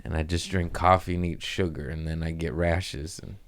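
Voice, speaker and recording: A man's voice: low-pitched, flat delivery, normal speed. A man hosting a podcast, chatting in a casual, comedic way with plenty of slang and swearing. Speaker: podcast host.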